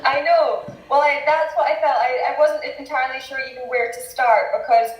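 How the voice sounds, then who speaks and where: A woman with a Scottish accent interviewing a guest on a video call, hesitant with frequent fillers and quick bursts of speech. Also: high voice, fairly even intonation, echoey room, low-quality recording.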